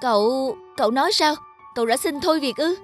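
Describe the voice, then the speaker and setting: A man playing an excitable, disapproving young male friend in a cartoon, exclaiming in an incredulous manner. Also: very high-pitched, a little fast, faint music behind. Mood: shocked disbelief. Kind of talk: cartoon dubbing.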